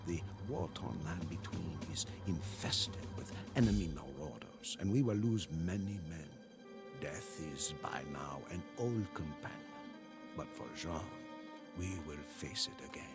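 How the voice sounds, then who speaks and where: Deep, solemn, war-weary commander. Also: male voice, animated, gravelly, heavily acted. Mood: grim, resolute. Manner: solemn, deliberate, brooding. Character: weary, battle-worn French army commander who lost his faith. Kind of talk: dramatic scripted journal narration. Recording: studio voice over prominent music.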